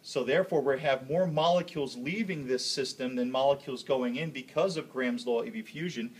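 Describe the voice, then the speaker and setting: A man with a husky, slightly rough voice at a middling pitch teaches chemistry. He explains a demonstration step by step, in a practical, hands-on way, often checking in with a quick okay.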